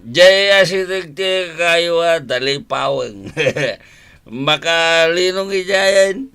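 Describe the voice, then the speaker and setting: An actor playing a cheeky hard-drinking husband in a radio comedy drama, with a blustering manner and a Filipino Ilocano accent. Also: male voice, on the high side, slow, vocal fry, pushed and bright, smooth, heavily acted. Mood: playful, tipsy. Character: cheeky hard-drinking husband who argues back, comic drunkard.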